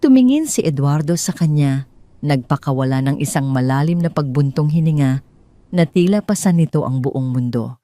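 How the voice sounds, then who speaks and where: A woman's voice: sits low, lively intonation, moderate pace, mellow. A woman with a Filipino accent narrating a scripted dramatic audio story as a storyteller.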